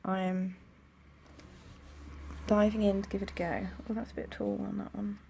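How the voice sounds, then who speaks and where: A young woman with a croaky voice, chatting casually at home while decorating her planner. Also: mid-range voice, flat delivery, moderate pace. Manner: chatty, rambling, soft-spoken. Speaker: planner vlogger. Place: home room near a busy road. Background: traffic noise.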